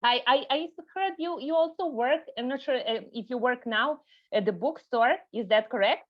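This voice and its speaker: Woman, interviewer, slightly high voice, chatty, filler-heavy, muffled lo-fi audio. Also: moderate pace. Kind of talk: interview questions. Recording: dull lo-fi sound.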